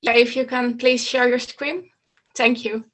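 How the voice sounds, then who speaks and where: A woman's voice: fairly high pitch, flat delivery, unhurried, fairly bright tone. A woman coach explaining slides in an online meeting, talking steadily and instructively, with a few slips and restarts, over a video call from a home room. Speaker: coach presenting to students. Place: home room with bookshelves, indoors.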